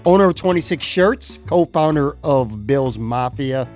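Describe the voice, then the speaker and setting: A man's voice: medium pitch, animated, measured pace, darkish tone, soft background music. Chatty fifty-year-old male podcast host. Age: 50s. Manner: chatty, rambling, friendly, says "man" and "brother" a lot. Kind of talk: casual chat.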